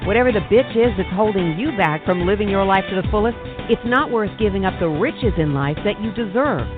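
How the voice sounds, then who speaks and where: A woman reading a scripted radio show introduction in a smooth promotional delivery. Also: medium pitch, lively intonation. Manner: smooth promotional delivery, slows for phone number. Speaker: show announcer. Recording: tinny phone line with music bed.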